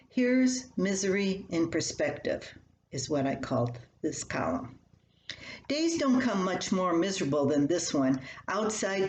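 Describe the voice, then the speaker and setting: A woman's voice: on the low side, darkish tone, lo-fi sound. An older woman reads her own personal story aloud in a homespun, chatty way. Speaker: columnist reading own story. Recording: roomy echo, faint background noise.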